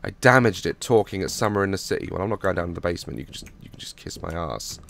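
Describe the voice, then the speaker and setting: A hoarse young man on a headset mic, nervously joking and swearing through a horror game as a gaming YouTuber. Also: low voice, animated, fast, fairly bright tone, a little hiss or hum. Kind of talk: horror game let's play commentary.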